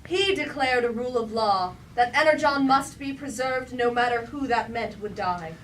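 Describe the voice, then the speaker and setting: A woman's voice: a little high, moderate pace, close to an everyday voice. A woman playing a grave Greek chorus member, declaiming rhythmic verse, recorded from a distance. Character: grave female Greek tragedy chorus member recounting fallen brothers. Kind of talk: staged reading of verse tragedy. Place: indoor room at a live staged reading. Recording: distant mic in a noisy room.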